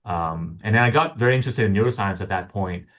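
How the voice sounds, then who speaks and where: A man's voice: on the low side, fast talker, fairly bright tone. A man from Hong Kong, a guest scientist answering interview questions in a hesitant way, with many ums and restarts.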